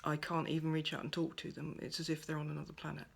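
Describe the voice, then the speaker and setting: Soft-spoken woman, near-whispering at times. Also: low voice, monotone, fast, vocal fry, breathy edge. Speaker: pagan speaker sharing reflections. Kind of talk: gentle reflective monologue. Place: at home by a window over a garden.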